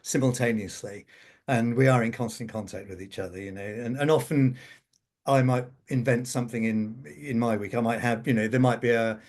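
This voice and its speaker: A man's voice: medium pitch, steady tone, fairly quick, a little mellow. Man explaining informally, somewhat hesitant. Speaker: scriptwriter, interview guest.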